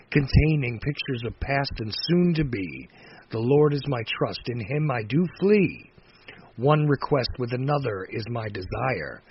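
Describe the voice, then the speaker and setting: A man reciting poetry dramatically, in a solemn, measured manner that moves between hushed phrase endings and emphatic phrases. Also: medium pitch. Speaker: poetry reader. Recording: dull lo-fi recording with faint noise.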